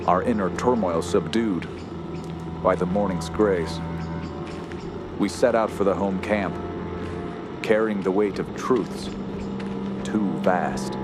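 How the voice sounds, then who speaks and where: A man playing a haunted young nephew who narrates a horror radio play in a grave, ornate, ominous manner. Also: deep voice. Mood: weary, somber. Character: dread-stricken young nephew recounting a horror. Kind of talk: scripted first-person horror narration. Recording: loud music bed under voice.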